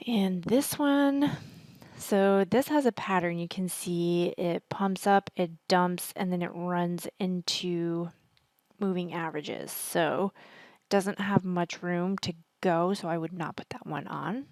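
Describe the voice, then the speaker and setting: A woman with a husky, partly hoarse voice, chatting casually and thinking aloud as she hosts a stock market screen-share commentary. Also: on the low side, slow pace, darkish tone, dry recording. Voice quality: husky, worn voice. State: partly hoarse from singing at a concert. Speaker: stock trading educator, video host.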